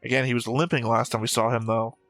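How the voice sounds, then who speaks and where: A man's voice: mid-range voice, flat delivery, fast, a bit bright, soft background music. A man co-hosting a reaction show, commenting casually in a dry, analytical way.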